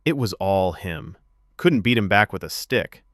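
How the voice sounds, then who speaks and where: Young actress in a serialized story, defensive, unsure of her feelings, lively inflection male narrator acting her. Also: low voice, unhurried, no room sound, studio quality. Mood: mock denial. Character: Emma, young actress, defensive and quietly confused.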